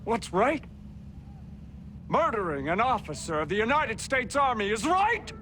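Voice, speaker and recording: A man's voice: extremely high pitch, big pitch swings, unhurried, sharp tone, a little hiss or hum, full character voice. An actor playing a stern army superior officer reprimanding his men in a clipped, commanding way. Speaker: senior military commander. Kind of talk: video game cutscene acting.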